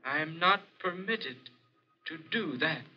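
An actor playing an unseen spirit who gives eerie, insistent commands with drawn-out solemnity in 1940s horror radio style. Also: male voice, fairly high pitch, lively intonation, slightly rough, exaggerated voice. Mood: commanding, urgent. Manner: slow, drawn-out, solemn urging. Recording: dull vintage 1940s radio recording.

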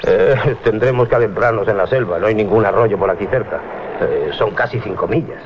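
An actor playing a sceptical, loyal sailor and first mate in a radio drama, dutiful but grumbling. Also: male voice, fairly low pitch, fairly quick, lightly acted. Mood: dutiful reporting. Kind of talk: 1980s Spanish radio drama acting. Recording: muffled, noisy 1980s radio recording.

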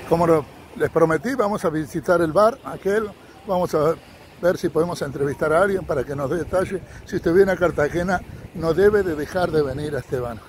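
A man's voice: fairly high pitch, brisk. A man walking a beach as a travel vlogger, talking upbeat to his viewers and recommending places. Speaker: vlogger and tour guide. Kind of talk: casual walking travel vlog. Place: sunny beach outdoors. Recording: outdoor beach noise in background.

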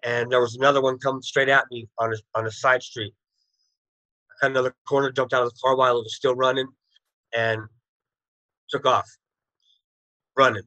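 A man's voice: mid-range voice, flat delivery, fairly quick, long pauses, pushed and bright, no room sound, rough recording. A man in his thirties, a guest on a video call, bluntly recounting his past in plain street slang. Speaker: interview guest. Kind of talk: telling his life story.

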